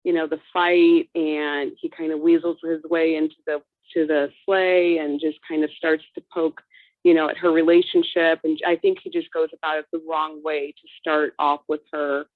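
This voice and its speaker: Woman 30s from chicago, really low voice, thoughtful, lots of ums, phone-thin sound. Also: little pitch movement, dry recording. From Chicago, USA. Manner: reflective, halting with frequent ums. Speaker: viewer joining a watch-along chat. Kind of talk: casual chat. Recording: tinny phone-like dry line.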